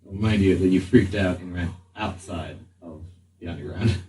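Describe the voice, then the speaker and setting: A man acting as game master, narrating a tabletop game in an improvised, halting way as he thinks aloud. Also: sits low, mellow. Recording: slightly distant mic, faint room noise.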